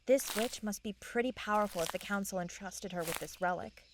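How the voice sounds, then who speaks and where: A woman's voice: medium pitch, brisk, background noise, lightly acted. A woman playing an eager young fairy on a quest in a fantasy comedy audio drama. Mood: wry, apologetic. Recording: outdoor nature sound effects behind voice.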